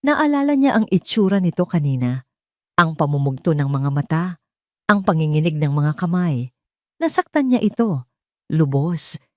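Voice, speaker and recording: Female storyteller for scripted drama narration; lowish register, highly expressive intonation. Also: moderate pace, long pauses, no echo, studio quality.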